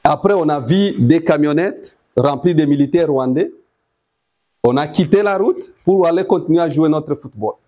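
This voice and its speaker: A man with a Congolese accent giving eyewitness testimony, telling it deliberately in short phrases. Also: fairly high pitch, warm tone. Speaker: testifying massacre survivor.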